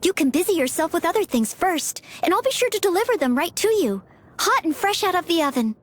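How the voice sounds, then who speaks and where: A woman's voice: extremely high pitch, very animated, brisk. A woman voicing a polite, self-effacing young maid and aspiring knight in a video game, eager to please. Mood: flustered, eager. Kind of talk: video game character voice lines.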